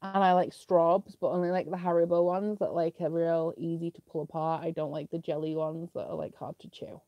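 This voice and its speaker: A woman's voice: low-pitched, level tone, fairly quick, dry recording, muffled recording. A young woman with a British accent, sniffly with a slight cough, chatting casually as she answers viewer questions in a live stream, with lots of "like" fillers. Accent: British English.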